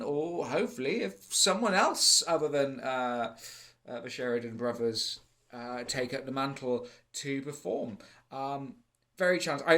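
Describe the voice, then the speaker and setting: A man's voice: on the high side, a bit bright. A man with a Welsh accent giving a casual, enthusiastic spoken theatre review, rambling with many fillers and restarts.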